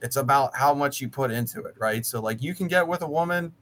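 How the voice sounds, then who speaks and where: A man's voice: medium pitch, fairly quick, fairly bright tone, a little hiss or hum. An American man of about thirty chatting casually, talking at length in a blunt, sweary way. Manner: blunt, profane, talkative. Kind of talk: casual chat.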